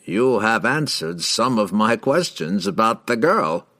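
A man voicing a raspy, smug and ambitious wizard in a storybook, with a grandiose, courtly and drawn-out delivery. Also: on the low side, sing-song, a bit bright, dry recording, clean studio sound, heavily acted. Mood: smooth probing.

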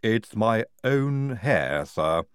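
A man's voice: on the low side, very animated, measured pace, no echo. Female Igor surgeon, done by a male reader: matter-of-fact, proud, deferential, dry recording. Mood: proud, frank.

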